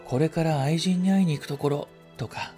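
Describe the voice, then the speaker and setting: Mellow-voiced man reading a reflective story. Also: on the high side, fairly quick, faint music behind. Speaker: story reader. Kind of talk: scripted literary narration, first-person radio drama reading.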